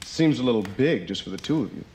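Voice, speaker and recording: A chatty, sardonic rogue. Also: male voice, mid-range voice, animated, fast, warm tone, husky. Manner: sarcastic, chatty. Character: wisecracking shady male adventurer, middle-aged. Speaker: game character.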